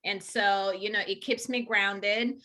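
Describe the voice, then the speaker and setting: Bright-voiced woman guest, enthusiastic. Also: mid-range voice, fairly even intonation, takes it slowly. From Rwanda and Uganda. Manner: warm, enthusiastic, many fillers. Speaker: professional organizer, guest. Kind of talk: casual interview chat.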